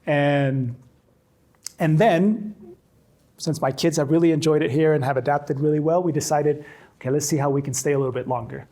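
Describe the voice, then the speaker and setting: A man's voice: fairly high pitch, fairly even intonation, moderate pace, mellow. A man in his forties from Chile, a storytelling trainer giving a conversational workshop talk to a faculty audience, often repeating words as he restarts. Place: classroom with a live audience.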